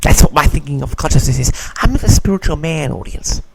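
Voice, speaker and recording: A man with a fairly high voice and very lively, swinging intonation hosts a casual question-and-answer log. He reads questions aloud and replies theatrically, with a mock-grand air, addressing his listeners directly. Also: fast talker, vocal fry, noisy background. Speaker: host of an audio log answering audience questions.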